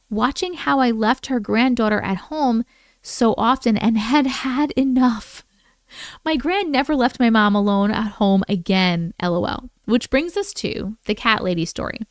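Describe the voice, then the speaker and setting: A woman with a fairly high voice reads listener stories as a live stream host. Her delivery is informal and animated, breaking off for chatty asides and reactions. The sound is dry and close, with little room. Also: no echo. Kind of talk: casual reading aloud with chatty asides.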